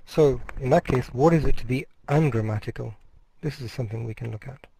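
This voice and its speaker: Mellow-voiced man giving a measured lecture. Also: mid-range voice, creaky, breathy edge, rough recording. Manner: measured, explanatory, restarts words mid-phrase. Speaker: university lecturer. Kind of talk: recorded course lecture.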